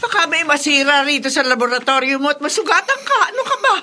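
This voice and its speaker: A woman's voice: sits high, lively intonation, fast talker, pushed and bright, slight background noise. An actress playing a worried, soothing middle-aged mother in a Filipino radio horror drama, fussing and reassuring with a Filipino accent. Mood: anxious scolding.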